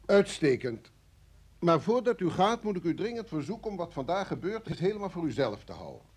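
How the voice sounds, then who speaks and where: A stern security boss in an old radio play, formal and pressured, husky voice, really animated pitch. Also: male voice, full character voice. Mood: firm, persuasive. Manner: authoritative, formal, measured phrasing. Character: senior security service chief, brusque, pressured, formally apologetic. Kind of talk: vintage Dutch radio drama acting.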